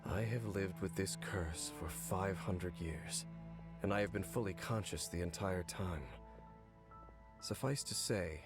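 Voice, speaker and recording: Game role: grave, stoic centuries-old cursed man; low, measured, formal delivery over prominent music. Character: weary, stoic, five-hundred-year-old cursed man. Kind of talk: scripted video game voice acting. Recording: game audio with music underneath.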